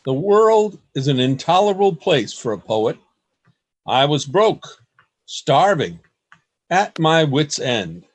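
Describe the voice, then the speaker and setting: A world-weary yet rapturous poet, acted by a man in a radio drama monologue. The voice is fairly high and animated in pitch, unhurried and declamatory, as if confiding a secret journal. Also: heavily acted. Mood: elated, confiding. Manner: theatrical, declamatory. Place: home, over a video call.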